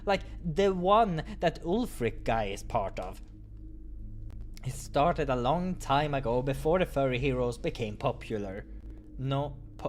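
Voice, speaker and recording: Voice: mid-range voice, close to an everyday voice. A performer voicing a stern, curt and condescending senior animal character in a visual novel game. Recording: clear background noise.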